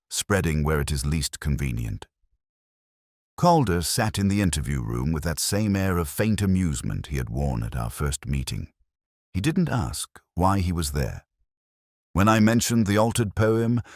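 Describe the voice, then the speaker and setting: A man acting as a brooding, world-weary police detective narrates his own case in a scripted audio drama. His voice is extremely deep and somewhat husky, with expressive rises and falls. The phrasing is literary, introspective and measured. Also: dry recording, clean studio sound, heavily acted.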